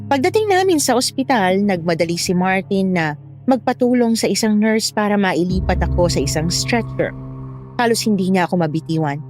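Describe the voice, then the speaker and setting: Casting: actress as young wife, first-person horror narrator, mid-pitched, with prominent music behind. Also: female voice, lively intonation, normal speed, a little mellow, lightly acted. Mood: tense, recounting. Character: young wife retelling her frightening ordeal. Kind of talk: scripted horror story narration.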